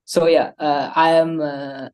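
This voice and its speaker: A young man with a Sri Lankan accent, an undergraduate introducing himself on a panel, hesitant and pausing often. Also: a little high, level tone, darkish tone. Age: young adult. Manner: hesitant, many uh fillers. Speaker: student panelist.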